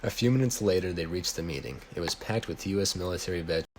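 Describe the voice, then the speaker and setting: American guy with a low voice reading his story out loud, slight background noise. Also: lively intonation, fast. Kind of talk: reading own written story aloud. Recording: noisy home recording.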